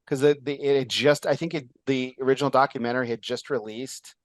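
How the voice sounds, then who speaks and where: A man hosting a casual interview show, talking in an excitable, rambling way with lots of fillers and restarts. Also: mid-range voice, fast talker, no room sound. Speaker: podcast host.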